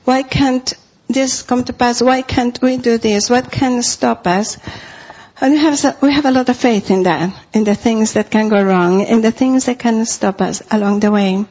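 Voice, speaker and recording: A woman's voice: a little high, steady tone, moderate pace, darkish tone, husky, dull audio. A middle-aged woman from Costa Rica with a Spanish accent, preaching a sermon in an earnest way and repeating phrases for emphasis.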